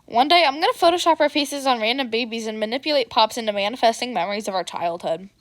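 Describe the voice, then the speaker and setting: A woman's voice: on the high side, fast, no room sound, close to an everyday voice. A woman voicing a mischievous, theatrical teenage son who needles the family in an audio fan drama.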